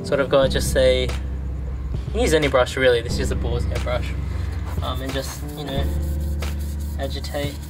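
A young man casually explaining how he details his car outdoors in a driveway, relaxed and full of ums. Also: medium pitch, normal speed, long pauses, loud music behind the voice, poor audio. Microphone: camera mic, later a GoPro.

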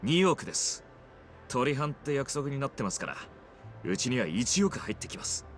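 An actor playing a young, deferential yakuza underling, respectful and earnest, in a Japanese game cutscene. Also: male voice, mid-range voice, very animated, moderate pace, soft background music, close to an everyday voice. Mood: earnest, explaining. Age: young adult.

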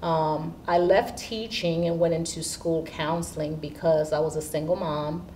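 A woman's voice: low voice, fairly even intonation, measured pace, mellow. A woman in her fifties from Haiti, an assistant principal answering questions in a seated interview. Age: 50s. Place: indoor school studio with curtain backdrop. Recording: distant mic with background room noise.

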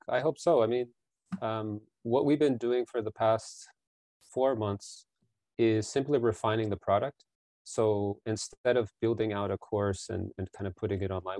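A man's voice: lowish voice, darkish tone, dry recording. A man in his forties, a business founder answering interview questions earnestly from a small bedroom over a video call, often repeating words as he thinks. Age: 40s. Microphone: headset over ears, video call.

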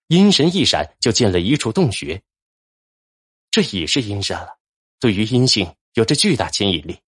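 Low-voiced male audiobook narrator, animated delivery. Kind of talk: scripted dramatic narration of a fantasy novel. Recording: background music under narration.

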